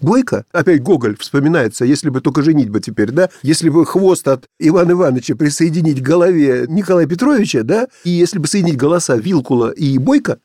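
Male radio pundit, quick, dark-toned, emphatic, dry studio sound. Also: a little high, dry recording. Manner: animated, emphatic, mocking asides. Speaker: political commentator. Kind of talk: radio talk show discussion.

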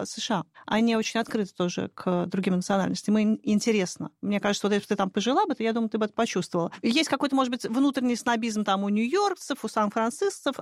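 A woman in a casual talk-show conversation, speaking in a brisk, indignant and animated way. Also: fairly high pitch, dry recording, studio quality.

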